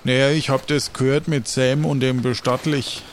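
A man's voice: mid-range voice, flat delivery, moderate pace, a little hiss or hum, natural voice. A man playing a laid-back, rambling sailor in improvised pirate role-play, speaking colloquially over music.

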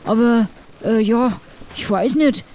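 Chatty, plucky ship's crew member played by a woman, higher register, loose colloquial delivery. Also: slow pace, mellow, slight background noise. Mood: puzzled. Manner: colloquial, rambling. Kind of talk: improvised tabletop roleplay.

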